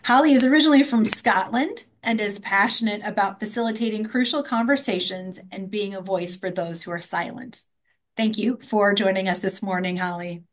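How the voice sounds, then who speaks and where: A woman's voice: medium pitch, normal speed, low-quality recording. Woman moderating a conference panel. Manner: reads introductions with frequent ums.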